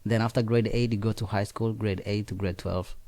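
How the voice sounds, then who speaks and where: A man's voice: on the low side, fairly even intonation, warm tone, dry recording. A young man from Kenya whose first language is Nandi, speaking in a casual interview with many fillers and restarts. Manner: halting, frequent fillers and restarts. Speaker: interview guest.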